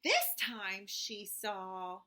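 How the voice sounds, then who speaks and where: A woman reading a children's picture book aloud expressively, with dramatic pauses and drawn-out phrases. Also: mid-range voice, big pitch swings, bright, forceful tone.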